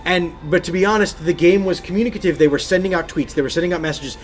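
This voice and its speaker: A man's voice: high voice, fast. A man co-hosting a video game podcast in casual chat, speaking emphatically and effusively and repeating phrases for stress. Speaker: podcast co-host.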